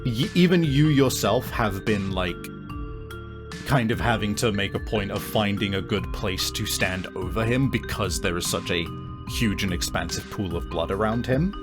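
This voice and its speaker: Male game master, lower register, conversational improvised storytelling aimed straight at a player. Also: normal speed, over music. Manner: descriptive, conversational, addressing the player directly. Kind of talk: improvised tabletop game narration.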